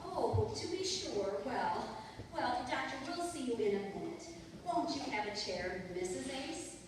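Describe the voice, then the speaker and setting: A woman's voice: high-pitched, sing-song, unhurried. A woman playing a chirpy, coaxing receptionist nurse in old-time radio comedy style. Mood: chirpy, polite. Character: chirpy, soothing female receptionist nurse, gossipy. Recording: echoey hall from far off, noisy.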